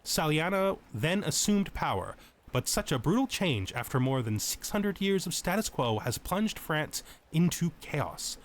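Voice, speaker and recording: A man with a voice pitched a little higher than average hosts a let's play. He moves between explanatory commentary and measured readings of lore, told in a storyteller's fashion. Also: sing-song. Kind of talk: tutorial game commentary mixed with reading lore text aloud.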